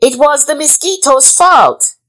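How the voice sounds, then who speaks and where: Woman voicing a high-pitched, flustered iguana. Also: unhurried, a bit bright. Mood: flustered, protesting. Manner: protesting, emphatic. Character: flustered iguana protesting innocence and shifting blame.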